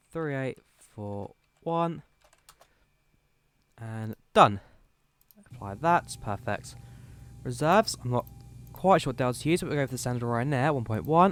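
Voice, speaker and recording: A man's voice: medium pitch, clean tone, dry recording. A young man with a slightly worn voice, casually talking viewers through setting up a flight sim cockpit and reading out codes and numbers. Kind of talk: casual live commentary while setting up a flight.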